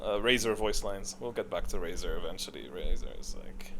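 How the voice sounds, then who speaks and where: A man's voice: low-pitched, a little fast, fairly bright tone, slight background noise. A man giving casual, off-the-cuff gaming commentary, thinking aloud in a rambling, blunt way.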